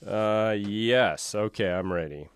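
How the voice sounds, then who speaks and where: A man's voice: on the low side, slow pace. A man in a casual phone conversation, giving brief, compliant answers as he plays along. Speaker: prank caller playing along with a scammer.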